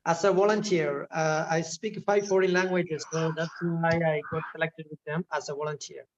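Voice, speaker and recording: A man's voice: a little high, little pitch movement, normal speed, highs cut off. Hesitant young man on a call. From Kerala, India. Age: 20s. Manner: hesitant, full of fillers and restarts. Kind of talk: casual chat.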